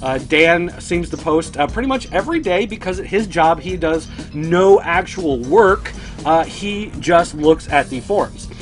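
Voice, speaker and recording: A man hosting a toy collecting news show, chatting casually to viewers in a dry, sardonic way. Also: a little high.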